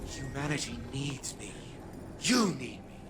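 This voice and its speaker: An actor playing an arrogant, domineering genius turned digital mind in a video game, with a pompous, commanding manner. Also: male voice, mid-range voice, big pitch swings, measured pace, noisy background. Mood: grandiose, persuasive. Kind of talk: dramatic game cutscene acting.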